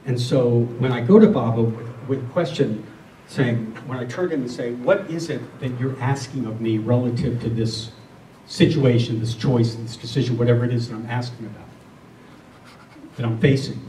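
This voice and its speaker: Man, mellow relaxed voice, earnest and deliberate, reflective spiritual talk, roomy distant recording. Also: mid-range voice. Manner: earnest, deliberate, with repeated phrases. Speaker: spiritual speaker. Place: room with a listening group. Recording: roomy, distant mic, faint noise.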